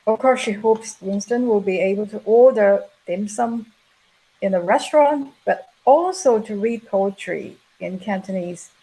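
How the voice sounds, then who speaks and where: A middle-aged woman giving a measured, explanatory plenary lecture at an academic conference. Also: mid-range voice, mellow, husky, rough recording. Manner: measured, explanatory, reading prepared remarks. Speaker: plenary speaker.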